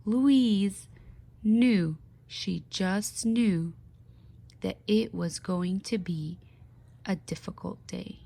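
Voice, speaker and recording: Woman, mid-pitched, very unhurried. Expressive children's read-aloud in a speech therapist's teaching style, with pauses and questions. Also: creaky. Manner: expressive storytelling with questions to young listeners; slow, deliberate, with pauses.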